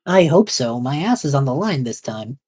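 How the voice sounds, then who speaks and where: A woman's voice: rumbling low, big pitch swings, normal speed, dark tone, slightly rough, exaggerated voice. A woman playing a nervous, hesitant young man who is out of his depth. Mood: flustered. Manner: unsure, flustered, hesitant. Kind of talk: fan audio drama acting.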